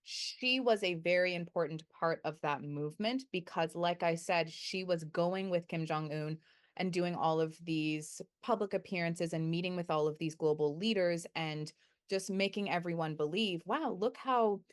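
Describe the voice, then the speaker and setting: Young woman researcher, slightly low pitch, careful and measured delivery, corrects herself mid-sentence. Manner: thoughtful, measured, self-correcting. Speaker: guest researcher. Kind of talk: academic interview answers.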